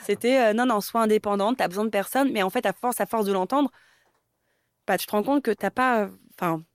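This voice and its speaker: A woman's voice: medium pitch, a little fast, no echo, clean studio sound. A woman in her thirties from Paris, speaking candidly in a conversational way as an interview guest. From Paris, France. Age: 30s. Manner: candid, conversational, many fillers.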